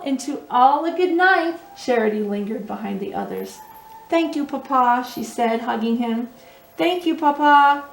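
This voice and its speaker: High-voiced woman reading stories to children. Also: vocal fry. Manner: expressive, teacherly, prompting listeners with questions. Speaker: children's storytime host and reader. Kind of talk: animated read-aloud with asides to young listeners. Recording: roomy echo with music underneath.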